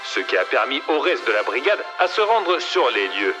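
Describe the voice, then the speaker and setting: A man's voice: mid-range voice, sing-song, normal speed, music in the background, lightly acted. A man playing a news reporter delivering a scripted bulletin in a children's cartoon. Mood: informative.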